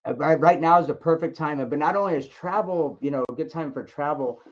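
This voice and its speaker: A man's voice: on the high side, a little fast. A man hosting a sales conference call, answering questions in an encouraging way and often repeating his phrases. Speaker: host of a distributor conference call. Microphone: conference call line. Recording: dull lo-fi conference call audio.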